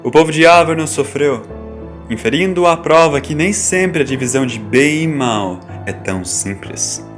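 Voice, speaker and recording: A man acting as the solemn narrator of a science-fiction audio drama, measured and portentous. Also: a little high, expressive, close to an everyday voice. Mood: grave, questioning. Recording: voice over background music. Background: music.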